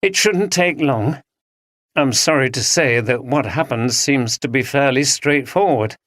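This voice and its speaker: A man voicing a polite young male detective who speaks in a measured, official way. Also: mid-range voice, a bit bright, dry recording, clean studio sound, voice pushed up, natural voice. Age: young adult.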